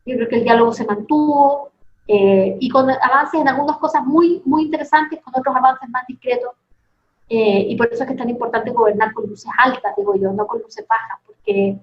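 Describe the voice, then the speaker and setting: A Chilean woman giving an interview over a dull, lo-fi line. Her voice sits fairly high, and she talks thoughtfully, restarting her phrases as she feels her way to the point. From southern Chile. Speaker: interview guest. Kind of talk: reflective interview answers. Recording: dull lo-fi video call audio.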